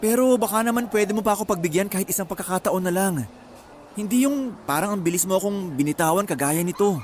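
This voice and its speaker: A man's voice: high-pitched, quick pace, slight background noise, natural voice. A man playing a jealous, possessive young ex-boyfriend in a radio drama, insistent and pressuring. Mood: pleading. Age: young adult. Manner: pleading, insistent. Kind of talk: Tagalog radio drama acting.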